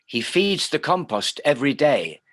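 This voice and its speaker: A man's voice: a little high, sing-song, normal speed, fairly bright tone, no echo. A man reciting poetry on an online call, plainly and phrase by phrase. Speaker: poetry reader.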